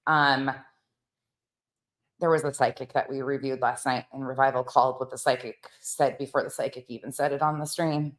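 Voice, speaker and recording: A woman's voice: very deep voice, steady tone, moderate pace. A woman hosting reaction commentary, sarcastic and profane, with theatrical, mocking delivery. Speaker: commentary channel host.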